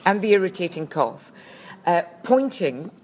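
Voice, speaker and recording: A woman's voice: on the low side, big pitch swings, a little slow, background noise. Blunt, grouchy woman podcaster. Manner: blunt, grumpy and sardonic, loud. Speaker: podcast co-host. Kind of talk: bickering casual podcast chat. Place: busy charity shop. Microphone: phone held up by co-host.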